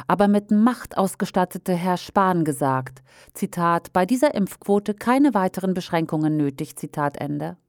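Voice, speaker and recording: Woman reading a sharp commentary, dry. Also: on the low side, no echo. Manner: critical, pointed, measured delivery. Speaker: reader of an opinion commentary. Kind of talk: read-aloud opinion commentary.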